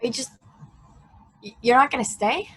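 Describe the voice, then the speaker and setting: A woman playing a newly awakened space probe in a table read, shy and awkward, speaking in a halting, earnest way. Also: high voice, fairly bright tone, slightly rough, no echo, heavily acted, poor audio.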